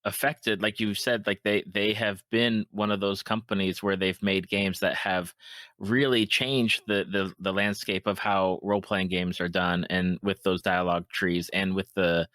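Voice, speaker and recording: Deep voiced guy podcast host, casual chat, kinda halting, repeats himself, dry sound. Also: a bit bright, no echo. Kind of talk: casual chat about video games.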